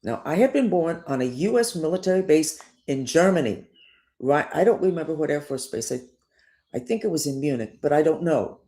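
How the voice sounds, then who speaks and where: A woman's voice: low voice, fairly quick. An older woman, a spiritual teacher, talking in a digressive, emphatic personal monologue. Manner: digressive, emphatic, lively up-and-down delivery.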